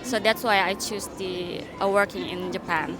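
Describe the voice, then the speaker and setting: A woman's voice: medium pitch, fairly even intonation, moderate pace, crowd noise. A woman from Indonesia, an English speaker, answering hesitantly and searching for words. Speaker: interviewee. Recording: music playing behind. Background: music.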